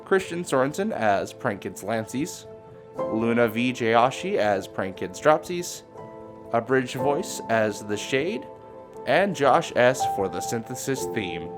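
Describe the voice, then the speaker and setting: A man's voice: medium pitch, big pitch swings, slow pace, over music. A man reading a scripted outro as the announcer of an audio series.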